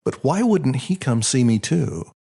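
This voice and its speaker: A man reading his own first-person story as a scripted audiobook, in an expressive, reflective storytelling manner. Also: medium pitch, a little slow, gravelly, slightly rough.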